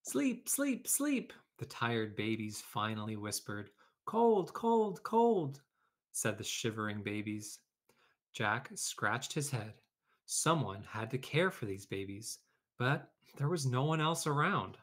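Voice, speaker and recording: A man's voice: fairly low pitch, measured pace, sharp tone. A man hosting a children's read-aloud lesson, speaking conversationally as an educator to pupils and teachers. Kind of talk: children's story read-aloud and informal classroom instruction.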